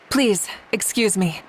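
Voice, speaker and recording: A woman voicing a solemn, perceptive female mystic in a video game, measured and formal. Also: mid-range voice, fairly bright tone, a little hiss or hum.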